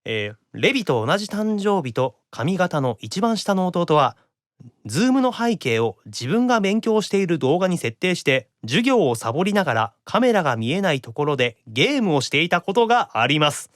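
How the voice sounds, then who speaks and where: A male podcast host with a Kansai Japanese accent, chatting and making announcements in an animated, reactive radio style. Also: a little high, normal speed, no room sound, clean studio sound. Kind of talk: casual radio banter and announcements.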